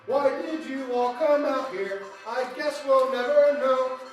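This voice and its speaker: A man's voice: extremely high pitch, unhurried, highs cut off. A man playing a jaded, sarcastic skeptic in a comedy variety sketch. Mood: grumbling. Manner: sarcastic, grumbling. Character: jaded, sarcastic male skeptic who grumbles at everything. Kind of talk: comedy sketch in old-time radio variety show. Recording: very echoey, distant mic, music behind.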